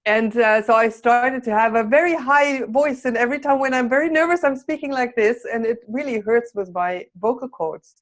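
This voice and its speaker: A woman taking part in a voice workshop, speaking hesitantly with many pauses and fillers. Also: fairly high pitch. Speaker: workshop participant.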